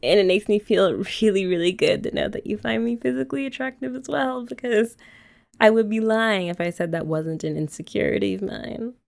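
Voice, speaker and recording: A woman's voice: mid-range voice, brisk, vocal fry, darkish tone, natural voice. A young woman voicing a playful, affectionate girlfriend in an ASMR roleplay, chatting casually with giggles and filler words. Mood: flustered.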